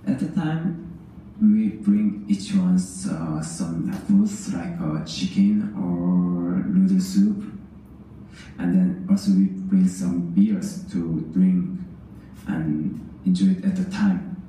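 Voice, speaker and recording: A man whose first language is Korean, giving a recorded English exam answer, slow and halting with many fillers. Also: low-pitched, mellow, soft background music. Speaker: student answering an exam question. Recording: roomy sample played over speakers, far.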